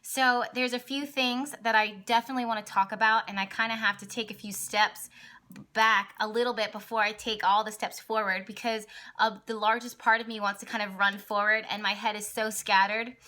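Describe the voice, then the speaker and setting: This is a young adult woman talking casually to her viewers about the week's stars. Her voice has a bright, forward edge, but it is hoarse and raspy from overuse and breaks now and then. She talks in an excited, scattered way, full of fillers and false starts, at a middling pitch. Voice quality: raspy, voice cracks at times. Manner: rambling, excited, many fillers and restarts. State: hoarse, partly lost voice. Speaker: astrology vlogger and channel host. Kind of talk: casual astrology talk to camera.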